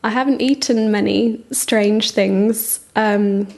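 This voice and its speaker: A woman's voice: medium pitch, fairly even intonation, slow pace, creaky, darkish tone. A woman making simple personal remarks as an interviewee.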